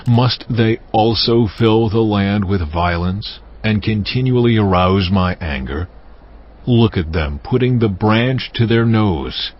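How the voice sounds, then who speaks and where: A man voicing God in a dramatized scripture reading, slow and solemn with stern pronouncements. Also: lowish voice, expressive. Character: stern, commanding voice of God, ancient male deity. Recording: dull lo-fi audio with music bed. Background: music.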